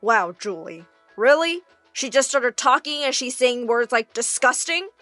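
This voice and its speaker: A woman voicing a scolding, dismissive parent in an animated story, sharp and exasperated. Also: on the high side, measured pace, dry recording, soft background music.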